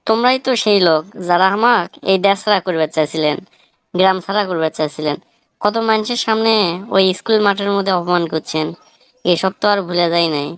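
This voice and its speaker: A woman's voice: mid-range voice, clear, resonant tone, dry recording, lightly acted. A woman voicing a witty, defiant young village man in a comic cartoon, with a northern Bangladeshi accent and a wry, argumentative manner. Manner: argumentative, wry, rhetorical questioning.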